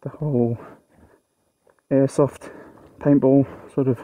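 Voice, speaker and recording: A man's voice: medium pitch, level tone, slow, warm tone, no echo, poor audio. A man, talking casually to the camera while out on a motorcycle, musing aloud with fillers. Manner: chatty, offhand musing with fillers. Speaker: motovlogger. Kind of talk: casual vlog narration while riding. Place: outdoors on a motorcycle and at an open site. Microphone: helmet or action-camera mic. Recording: wind and engine noise.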